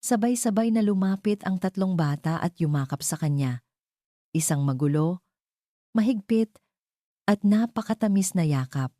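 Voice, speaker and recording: A woman's voice: mid-range voice, lively intonation, normal speed, long pauses, mellow, no room sound, clean studio sound. A woman narrating a scripted romance story in a dramatic, sentimental way.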